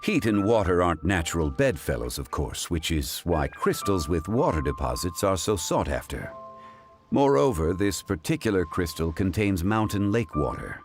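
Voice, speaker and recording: Erudite game gentleman: low, assured, formal and measured, with an expressive melodic range. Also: music in the background. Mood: scholarly, assured. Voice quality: deep. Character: composed, erudite gentleman expert on stones, quietly assured. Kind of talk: video game voice acting.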